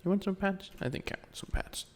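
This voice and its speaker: A man with a breathy voice in casual outtake chatter, coaxing a pet in a repetitive, amused and exasperated way. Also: high-pitched, fairly quick.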